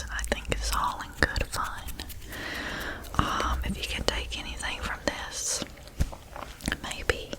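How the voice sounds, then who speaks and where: A young woman with a Southern US accent, softly whispering repeated trigger words in an ASMR video. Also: rough recording. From Southern United States. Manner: slow, repetitive trigger words with nail tapping. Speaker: ASMR creator.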